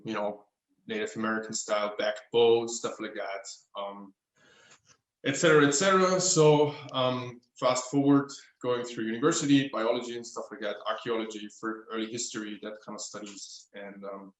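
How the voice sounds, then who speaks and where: An Austrian man in his thirties speaks English with his German-accented lilt, pausing thoughtfully to find the right words. His voice is mid-pitched. It rings in a roomy, echoey room at home. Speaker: guest craftsman. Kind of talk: casual interview chat.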